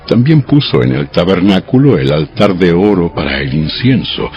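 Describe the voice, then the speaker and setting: Male narrator for audio scripture drama: gravelly, solemn storytelling, slightly dull sound with music bed. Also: very deep voice.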